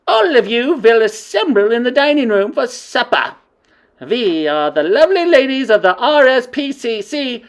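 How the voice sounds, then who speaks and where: Shrill, domineering witch queen for a storybook read-aloud, very high and loud, slow drawn-out commands. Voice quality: screeching, commanding.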